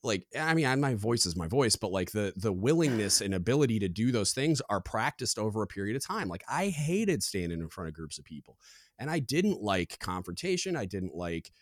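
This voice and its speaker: A man with a deep booming voice speaks in a loose, rambling podcast manner, circling his thoughts with frequent fillers. His pitch sits very low. The sound is dry and close, with no room around it. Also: sing-song, fairly quick, no echo. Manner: rambling, filler-heavy, candid. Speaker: podcast co-host. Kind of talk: casual podcast conversation.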